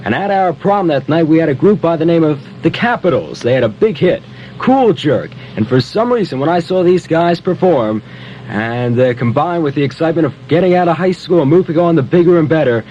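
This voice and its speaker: A man's voice: fairly high pitch, expressive, brisk, a little mellow, highs cut off, a little hiss or hum. An American man in his twenties doing playful, chatty Top 40 disc jockey patter on a vintage 1970 radio aircheck. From Philadelphia, United States. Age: 20s. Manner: playful, chatty, with interjections and asides. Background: pop records playing under the voice.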